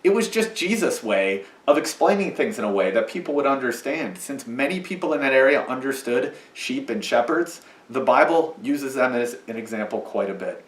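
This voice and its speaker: Lively-voiced man teaching children in church. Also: mid-range voice, moderate pace, hardly any pauses, echoey room, distant mic. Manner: patient, explaining, sing-song to children. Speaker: children's message leader. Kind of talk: children's sermon with puppet. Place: church sanctuary.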